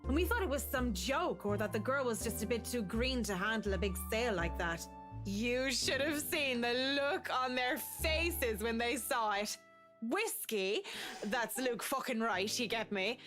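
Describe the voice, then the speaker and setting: Gal doing a brash boozy young woman, crude and cheeky, highish voice, game music behind. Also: lively intonation, a little slow, fairly bright tone. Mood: scornful. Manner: crude, teasing, slangy.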